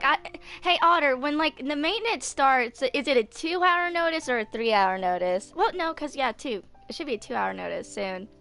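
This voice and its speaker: A woman's voice: high voice, a bit bright, smooth. A woman in her twenties chatting casually on a game stream in an informal, rambling way. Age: 20s. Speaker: gaming streamer.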